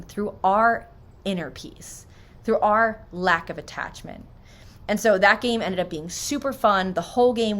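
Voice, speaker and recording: A woman's voice: mid-range voice, a bit bright. A woman from New Jersey with an American accent, sharing personal stories and spiritual reflections in an informal, earnest way. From New Jersey, United States. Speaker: spiritual vlogger.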